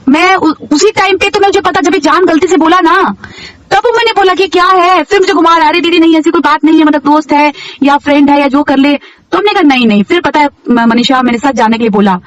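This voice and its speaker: Fast, high-pitched insistent woman on phone. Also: no echo, far from the mic. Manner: emphatic, repeats words insistently. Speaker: caller on a phone call. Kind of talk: heated informal phone conversation. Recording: dull, muffled phone call recording.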